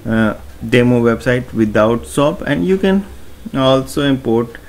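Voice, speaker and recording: A man talking through a screen-recorded website tutorial in an unhurried, explanatory way, with many fillers and restarts. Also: medium pitch, expressive, slight background noise. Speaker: tutorial narrator.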